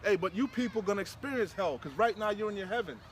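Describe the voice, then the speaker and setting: A man's voice: high voice, expressive, quick pace. A man preaching informally and fierily on scripture, emphatic and repetitive, with plenty of slang. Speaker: street preacher leading a scripture discussion. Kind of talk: fiery informal preaching, commenting on scripture read aloud. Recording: outdoor recording with clear traffic noise.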